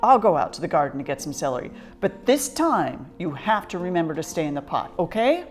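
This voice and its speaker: Storybook dog played by a woman: male, dim but good-natured, earnest, easily fooled, animated delivery. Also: sits low, a little fast, faint music behind. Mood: trusting.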